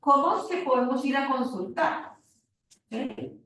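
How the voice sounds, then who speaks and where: A woman's voice: sits high, animated, unhurried, a bit bright, a little hiss or hum. A woman leading an online class discussion, prompting the group with short, encouraging questions. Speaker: online session moderator. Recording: echoey room far from mic.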